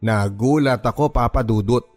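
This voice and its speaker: A man with a voice of ordinary, mid-range pitch reads a listener's letter for a radio drama. He tells it in first person at an earnest, measured pace, with soft music playing underneath. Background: faint background music.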